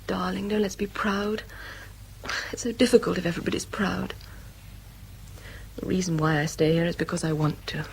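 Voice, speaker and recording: An actress playing a loving, frank, long-suffering girlfriend in an intimate radio drama, often hushed. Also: lowish voice, fairly even intonation, moderate pace, gravelly, long pauses, slight background noise, poor audio. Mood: tender, pleading.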